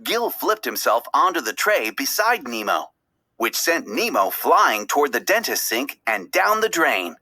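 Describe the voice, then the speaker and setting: Bright, animated woman reading stories aloud. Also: rumbling low, a little slow. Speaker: children's story reader. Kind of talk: expressive read-aloud narration.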